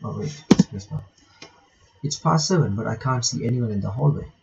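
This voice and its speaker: A man giving casual playthrough commentary and reading game text aloud, halting and often repeating his words. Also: medium pitch, expressive, gravelly, muffled recording, background noise. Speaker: gaming reaction host.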